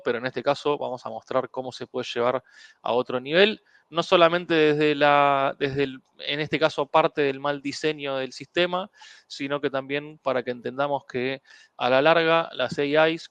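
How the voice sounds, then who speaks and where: A man hosting a security webinar in a relaxed, rambling way, with many fillers and English tech terms. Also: mid-range voice, clean tone, no room sound. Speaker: webinar host. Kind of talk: casual technical presentation.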